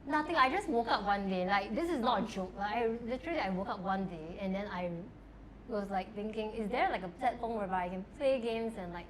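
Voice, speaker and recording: A woman's voice: mid-range voice, fairly quick, a little hiss or hum, low-quality recording. A young adult woman from Taiwan, chatting casually as a podcast guest and filling her talk with "like". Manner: chatty, lots of filler "like". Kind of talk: casual chat.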